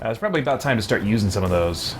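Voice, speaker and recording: A man's voice: on the low side, expressive, rapid-fire, music in the background. A man giving casual, conversational gameplay commentary, thinking aloud as he plays. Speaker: let's play host.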